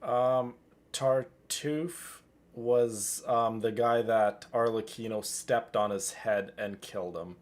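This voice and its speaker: A man's voice: mid-range voice, fairly even intonation, slow. A man giving casual live commentary over a video game, talking to his viewers. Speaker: game streamer.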